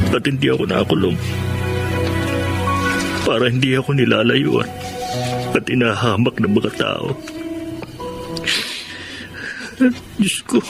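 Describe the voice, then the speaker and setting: An earnest young Filipino man, humble and remorseful, in a radio drama. His voice sits at a medium male pitch over a prominent music bed. He speaks politely, like a kind soul trying to start over. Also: gravelly. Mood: sorrowful, pleading. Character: remorseful, kind-hearted young ex-convict seeking a new life.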